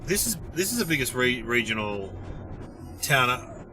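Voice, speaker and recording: Bright, animated Australian male podcast host. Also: mid-range voice, normal speed. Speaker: podcast host, stand-up comedian. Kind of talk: casual chat.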